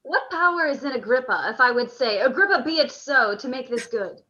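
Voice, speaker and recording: A proud soldier-statesman played by a woman in a Shakespearean radio play, pitched very high with a bright, forceful edge. The delivery is formal and declamatory, and the sound is slightly dull and band-limited. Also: animated, a little fast. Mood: solemn, resolved. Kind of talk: live radio play acting in Shakespearean verse.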